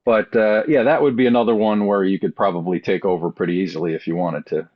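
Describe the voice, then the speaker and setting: Lowish, dry-sounding middle-aged man chatting. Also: steady tone, fast talker, dry recording, dull audio. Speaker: podcast panelist. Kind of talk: casual chat.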